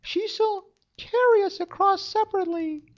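A man voicing a frightened but clever little girl in a high falsetto, pleading and drawn out, in a storybook reading. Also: normal speed. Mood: earnest. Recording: dull lo-fi recording.

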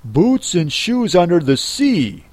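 A reader voicing a brusque, impatient and solemn beast in a storybook, speaking forcefully. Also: mid-range voice, very animated, slow pace, dry recording, pitched above the performer's own voice. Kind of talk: storybook character reading.

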